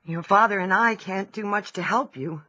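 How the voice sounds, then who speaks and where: Tender farm mother, audio drama, husky middle-range voice. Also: medium pitch, normal speed, sharp tone, dry recording, dull audio. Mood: tender, practical.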